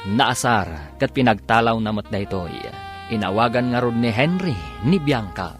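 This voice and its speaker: Guy announcing a radio drama, theatrical and swoopy, stretches out titles, soft music under him. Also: mid-range voice, expressive, a little slow. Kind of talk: dramatic scripted Ilocano radio narration.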